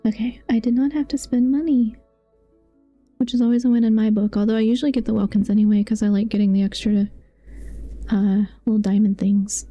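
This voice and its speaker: A woman's voice: on the high side, steady tone, brisk, dark tone, no echo, soft background music. A sleepy-sounding woman chatting casually on a game stream, rambling and thinking aloud. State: sleepy, yawning. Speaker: live streamer.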